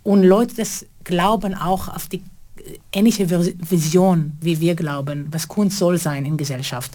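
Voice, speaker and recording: Halting woman, middle-aged. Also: fairly low pitch, steady tone, dark tone. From Israel. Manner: halting, non-native grammar, earnest. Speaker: choreographer and theater co-founder. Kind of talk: interview answer.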